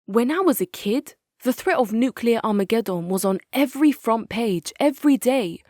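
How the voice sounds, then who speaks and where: A woman's voice: fairly high pitch, animated, moderate pace, warm tone, no echo, lightly acted. A woman playing a wry British military commander, dry sound. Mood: anxious, sarcastic. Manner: wry, plain-spoken. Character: frank, sceptical female military commander, wry realist. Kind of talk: dramatic scripted game character monologue.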